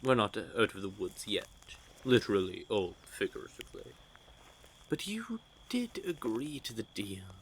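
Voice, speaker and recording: Slow, teasing low-voiced vampire played by a man. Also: a little hiss or hum, poor audio. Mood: smug, menacing. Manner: teasing, drawling, sardonic. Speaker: voice actor in an audio roleplay. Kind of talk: intimate scripted audio roleplay addressed to the listener. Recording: added ambient sound effects behind voice.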